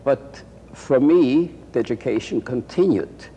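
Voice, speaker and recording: Slow older man. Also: mid-range voice, lively intonation, dark tone, breathy edge. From Poland. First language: Yiddish. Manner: slow, halting, with frequent pauses and restarts. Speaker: interviewee. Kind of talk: recorded oral-history reminiscence. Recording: roomy archival tape with faint hiss.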